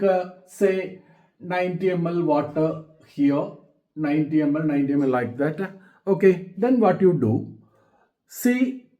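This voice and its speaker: A man with an Indian accent giving an explanatory school science lesson as a teacher, haltingly repeating and rephrasing his phrases and checking in with okay. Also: high voice, a little mellow.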